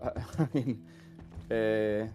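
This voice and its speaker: A man working as a game audio designer, talking casually and haltingly while showing a game. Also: on the low side, fairly even intonation, warm tone, soft background music. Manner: hesitant, many fillers and restarts.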